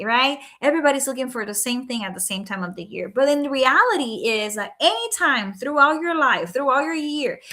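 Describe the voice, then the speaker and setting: A woman's voice: on the high side, a bit bright. A woman from Puerto Rico with a Puerto Rican Spanish accent, Spanish her first language, hosting a casual live stream from home at night, earnest and chatty with frequent "right?" and "um". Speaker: life coach hosting a livestream.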